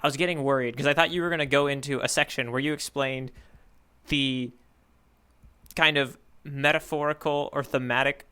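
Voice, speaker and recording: A man's voice: medium pitch, steady tone, moderate pace, pushed and bright, clear, resonant tone. A man co-hosting a podcast, chatting casually in a thoughtful, analytical way. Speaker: podcast co-host. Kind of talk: casual manga discussion chat.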